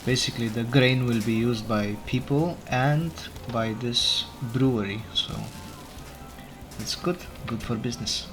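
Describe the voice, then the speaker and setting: A man whose first language is not English, casually commenting on his game as he plays, unhurried and thinking aloud, often correcting himself. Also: mid-range voice. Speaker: let's play gamer. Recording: game music playing behind. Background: game music.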